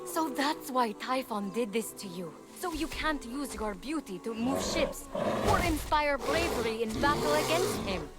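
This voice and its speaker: An actress playing an eager, starstruck young mortal heroine in a video game, excitable and awkwardly earnest. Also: female voice, high voice, unhurried, over loud music. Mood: persuasive. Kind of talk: video game cutscene acting.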